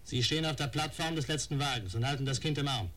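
An actor playing a cold, menacing blackmailer in a German radio crime drama, giving curt, taunting commands. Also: male voice, mid-range voice, quick pace, a bit bright, close to an everyday voice. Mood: cold, threatening.

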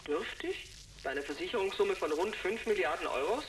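A woman's voice: fairly low pitch, expressive, brisk, a little hiss or hum, close to an everyday voice, poor audio. A woman playing a sceptical, formal client negotiating with a detective in a sci-fi radio drama. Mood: cool, sceptical.

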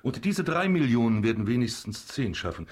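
A man's voice: medium pitch, very animated, normal speed, a little mellow, slightly rough. An actor playing a pompous, calculating banker and father in a radio drama, with a businesslike manner. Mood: frank, scheming.

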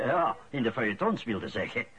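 A Flemish man of medium pitch in a domestic radio play. He comes across as a wry householder at the breakfast table with his paper. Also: very animated, normal speed, a bit bright. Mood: measured reading. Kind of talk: radio drama acting.

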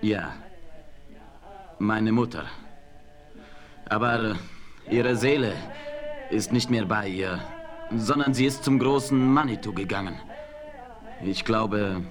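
Proud young chief, solemn and stately. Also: male voice, lowish voice, takes it slowly, long pauses, soft background music. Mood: grave. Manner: solemn, declamatory, stately phrasing. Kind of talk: 1970s western radio play acting.

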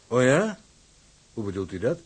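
A man acting in a Dutch radio drama as a polite, smooth-talking but unyielding shipping office manager. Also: mid-range voice, big pitch swings, normal speed. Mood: astonished. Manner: formal, unctuous courtesy with swooping emphasis. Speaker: actor.

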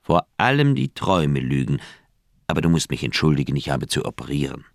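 An actor playing a troubled older surgeon in a radio crime drama, recounting in a deliberate, reflective manner with dramatic pauses. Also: male voice, rumbling low, big pitch swings, gravelly, dry recording, studio quality, exaggerated voice. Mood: confiding, firm.

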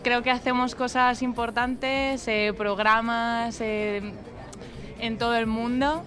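A woman's voice: on the high side, steady tone, slow. A woman from Madrid with a Madrid Spanish accent, answering interview questions hesitantly, with many fillers and restarts. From Spain. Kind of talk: casual interview. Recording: loud music behind.

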